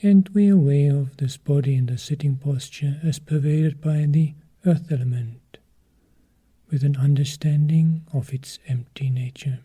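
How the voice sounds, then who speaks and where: A man teaching a guided meditation in a soft, breathy, husky voice, calmly giving short, measured phrases with long pauses between them. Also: a little high.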